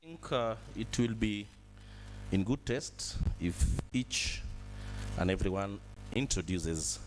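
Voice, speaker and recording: A man hosting as master of ceremonies in a measured, public style. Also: fairly low pitch, lively intonation, background noise.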